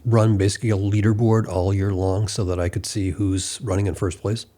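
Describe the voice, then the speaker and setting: A man hosts an interview and puts questions conversationally. His voice is fairly low, with a very mellow, dark tone. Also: level tone, a little fast. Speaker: interviewer, host.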